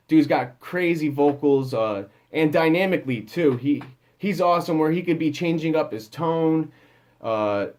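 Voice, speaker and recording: A man with a slightly higher voice talks through singing advice in a loose, off-the-cuff way. He wanders and restarts his sentences, leaning on fillers as he goes. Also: darkish tone. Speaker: music producer giving vocal advice. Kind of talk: casual advice talk.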